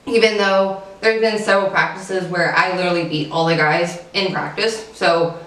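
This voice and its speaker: A woman's voice: lowish voice, fairly even intonation, normal speed, a bit bright, recorded from a distance. Earnest young woman athlete, echoey. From Kentucky. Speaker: interviewee, runner.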